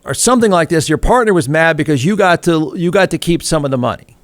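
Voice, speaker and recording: A man's voice: on the high side, expressive, fast, dry recording. A man hosting a talk show interview, asking conversational, probing questions.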